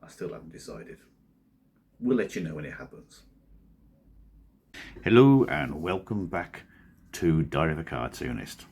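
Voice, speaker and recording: A man with a croaky, husky voice from a cold, talking in a rambling, drowsy, self-correcting way as a cartoonist vlogger. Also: a bass voice, very animated, measured pace, pauses often, a little mellow. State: hoarse from a cold. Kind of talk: casual studio vlog talk.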